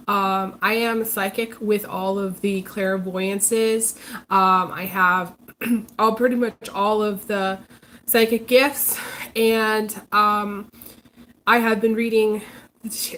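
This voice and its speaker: Congested woman, halting live-stream chat. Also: fairly high pitch, fairly bright tone, slight background noise. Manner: hesitant, many ums and restarts. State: congested, recovering from illness. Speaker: psychic reader, channel host. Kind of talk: casual live-stream monologue.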